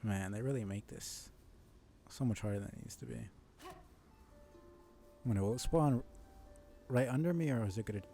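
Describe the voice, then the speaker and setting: A man giving casual live commentary on a video game, musing and muttering to himself in an unhurried way as he thinks aloud. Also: medium pitch, warm tone, faint music behind.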